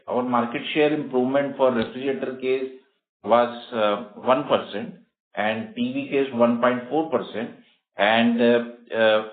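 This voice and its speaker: Man, Indian accent, company executive, mid-pitched voice, formal emphatic delivery, echoey narrowband conference call. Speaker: company executive answering questions. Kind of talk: earnings call answers.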